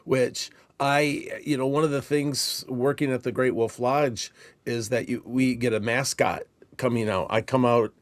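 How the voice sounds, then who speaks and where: Male co-host, medium pitch, casual explainer who thinks aloud, dry close sound. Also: steady tone, measured pace, no room sound. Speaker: podcast co-host and Christmas performer. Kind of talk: casual instructional discussion.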